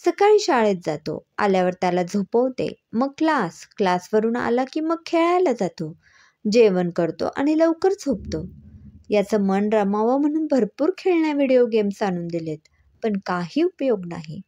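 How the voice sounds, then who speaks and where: A woman's voice: on the high side, big pitch swings, moderate pace, mellow. A woman storyteller voicing a frustrated mother in her thirties who lists her complaints. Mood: exasperated. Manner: complaining, listing things off. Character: frustrated thirty-five-year-old mother complaining about her son.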